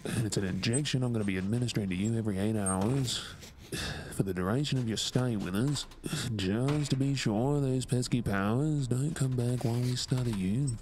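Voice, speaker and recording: A man with an Australian accent playing a remorseful lab assistant in an audio drama, speaking in a deliberate way. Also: mid-range voice, darkish tone, a little hiss or hum, close to an everyday voice. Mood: stern, cold. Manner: somber, deliberate, halting. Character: haunted, remorseful male former lab assistant. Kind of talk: dramatic audio roleplay acting.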